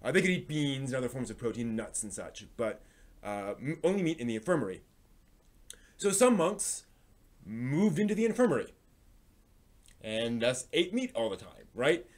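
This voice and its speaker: A man's voice: mid-range voice, big pitch swings, normal speed, long pauses. A man hosting a live stream, talking through a review in a casual, explanatory way and often restarting phrases as he thinks aloud.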